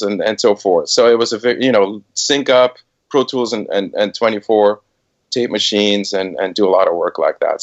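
A man's voice: lowish voice, muffled recording. A middle-aged man, a music producer, telling his career story in a casual podcast interview, hesitant with many fillers. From Switzerland.

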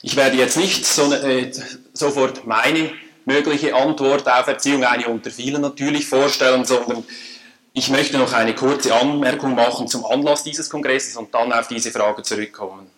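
Swiss German man, academic speaker, mid-pitched, dry humour, faint background hiss. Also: fairly even intonation, fairly bright tone, rough recording. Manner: measured, dryly humorous, formal phrasing. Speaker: conference speaker. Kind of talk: academic lecture. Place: lecture hall.

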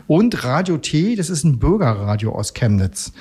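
A man explaining things conversationally as a radio guest, halting and often rephrasing. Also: mid-range voice, big pitch swings, warm tone, slightly rough. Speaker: radio expert guest in a magazine segment.

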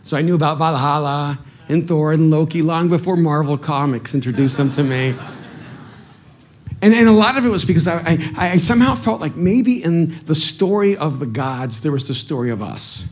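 Animated man preaching from El Salvador. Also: on the high side, normal speed. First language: Spanish. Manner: animated, self-deprecating, stammers on restarts. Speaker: pastor. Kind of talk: sermon.

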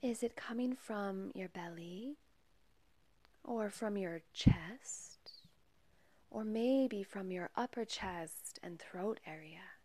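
Female meditation guide, unhurried and gentle, soft breathy delivery with whispery edges, very dry close sound. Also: medium pitch, dry recording. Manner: calm, measured, gentle, with long pauses. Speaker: meditation guide and podcast host.

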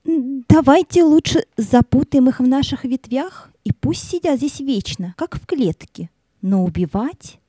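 A woman's voice: high voice, expressive, measured pace, warm tone, dry recording. A woman playing a mild, reluctant tree in an audio play.

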